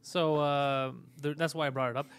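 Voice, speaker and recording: A man whose first language is Greek, hosting a podcast in a casual, crude chat, loud, sarcastic and mocking, swearing often. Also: fairly high pitch, level tone, moderate pace, clear, resonant tone. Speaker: podcast host.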